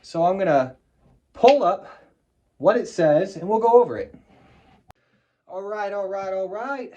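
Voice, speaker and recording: A middle-aged man from the United States talks through a collectible on camera in a casual, off-the-cuff way. His voice sits a little high for a man, and he is blunt, swears freely and drops wry asides. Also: measured pace, dark tone, muffled recording. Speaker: collector showing a wartime flag on video. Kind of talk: casual show-and-tell vlog.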